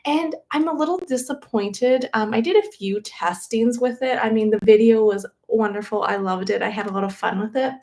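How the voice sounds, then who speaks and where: A woman with a soft voice, chatting casually to viewers as a tarot reader hosting a livestream, close to her microphone and rambling with many fillers and restarts. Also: fairly high pitch, normal speed, few pauses. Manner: rambling, with many "um"s and "like"s and self-corrections. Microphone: new microphone close to her.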